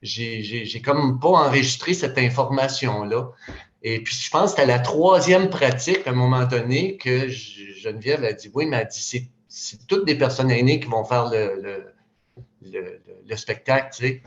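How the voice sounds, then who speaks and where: A man in his sixties with a Quebec French accent talks in a relaxed, halting way, full of "euh" and restarts. His voice sits at an ordinary pitch, and the recording sounds roomy and a bit dull, like a lo-fi capture in a meeting space. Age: 60s. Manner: hesitant, many fillers and restarts. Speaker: panel speaker, retired psychoeducator. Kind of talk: casual public talk.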